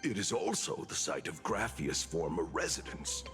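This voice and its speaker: An actor voicing a stubborn but kindly old kappa in a video game, speaking in a measured, cryptic, formal way. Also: male voice, extremely low pitch, sing-song, a bit bright, soft background music, full character voice. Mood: calm, wry. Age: older. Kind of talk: video game character acting.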